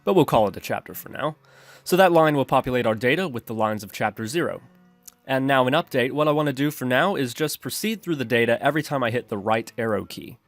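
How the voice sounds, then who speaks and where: A man's voice: mid-range voice, expressive, fast, dry recording. A man narrating an instructional screencast as a tutorial host, explaining methodically step by step. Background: background music.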